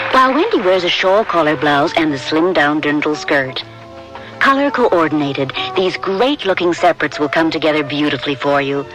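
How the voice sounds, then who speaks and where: A man's voice: a little high, fairly bright tone, low-quality recording. A man reading a scripted fashion advertisement as an announcer. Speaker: commercial announcer.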